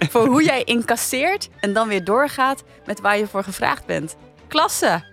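A woman's voice: on the high side, animated, a little slow, fairly bright tone, soft background music. Dutch woman hosting a casual panel chat. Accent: Netherlandic Dutch. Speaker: podcast host.

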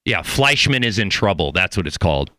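A man's voice: deep voice, big pitch swings, brisk, pushed and bright, no room sound, studio quality. A man hosting a casual interview in an informal, rambling, encouraging way. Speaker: podcast host.

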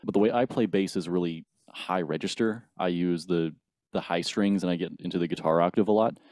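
A man in his twenties, a band's bassist, explaining the recording process methodically in a casual interview. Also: fairly quick, a little mellow, no echo, studio quality. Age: 20s. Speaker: band bassist.

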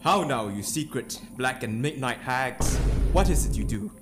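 A bold usurper lord played by a man demands answers in an imperious, declamatory Shakespeare reading. The voice sits at a middle pitch over clearly audible ominous music. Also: animated, moderate pace, lightly acted. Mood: imperious demanding.

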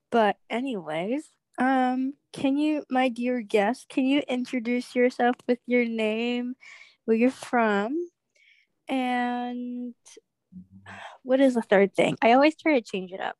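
Young woman host, bubbly and scattered, higher voice, dry recording. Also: a little mellow, no room sound. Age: young adult. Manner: bubbly, scattered, quick asides. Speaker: podcast host. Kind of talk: casual interview chat.

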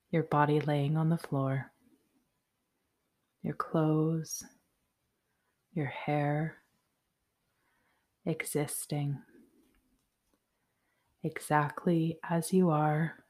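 A woman guiding a yoga nidra meditation in a soothing, measured, softly spoken way. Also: low-pitched. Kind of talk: guided meditation, slow instructions.

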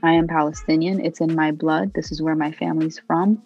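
A woman's voice: deep voice, fairly even intonation, brisk, a little mellow, breathy edge, highs cut off, faint music behind. A young American-born woman answering interview questions about her poetry and identity in an earnest, thoughtful way, with frequent "you know" fillers. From United States. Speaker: interviewee, poet.

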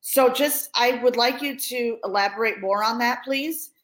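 A woman's voice: fairly high pitch, normal speed. A woman hosting a podcast, affirming her guest and posing long questions with restarts. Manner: warm encouraging interviewer, affirming, with restarts when asking. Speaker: podcast host. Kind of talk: interview hosting.

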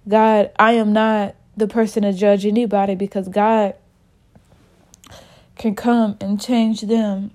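Casting: woman podcast host, slow unhurried delivery, slightly sniffly from a cold, candid and informal. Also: mid-range voice, mellow. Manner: candid, informal, talks straight to listeners as y'all. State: a little sick, sniffly. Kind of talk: spiritual podcast monologue.

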